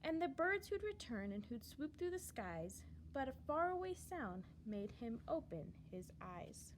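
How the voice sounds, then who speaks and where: High, animated woman reading a storybook. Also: a little slow, a little hiss or hum, low-quality recording. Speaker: storyteller reading a children's book aloud. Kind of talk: expressive read-aloud storybook narration.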